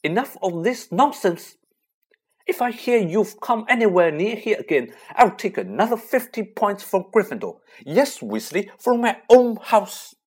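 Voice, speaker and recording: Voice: medium pitch, very animated, a little slow, slightly rough, voice pushed up. A reader voicing a stern, strict older woman teacher who has lost her temper. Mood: furious.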